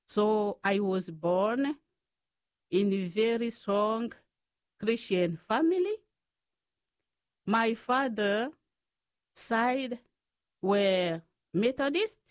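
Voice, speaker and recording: A woman from the Democratic Republic of the Congo with a Congolese accent, telling personal stories as an interview guest in short, halting phrases of non-native English. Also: medium pitch, no echo, studio quality. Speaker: guest, Franciscan sister.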